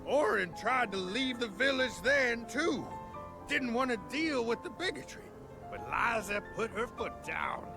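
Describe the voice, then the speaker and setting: A man's voice: very high voice, animated, measured pace, exaggerated voice, poor audio. A man voicing a folksy, easygoing villager storyteller in a video game cutscene, with a drawl. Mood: folksy explaining. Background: background music.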